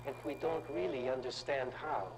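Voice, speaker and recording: An actor playing a formal middle-aged community elder in a game, speaking slowly and solemnly with an unplaceable accent. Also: male voice, mid-range voice, creaky, noisy background, lightly acted. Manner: slow, solemn explanatory delivery. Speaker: game character guide in full-motion video.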